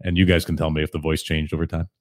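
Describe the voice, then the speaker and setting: A man with a very low, deep voice talks in an unhurried, calm way. He sounds relaxed and easygoing, poking fun at himself in a casual podcast conversation. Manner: relaxed, self-deprecating, chuckling often. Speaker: podcast host and guest.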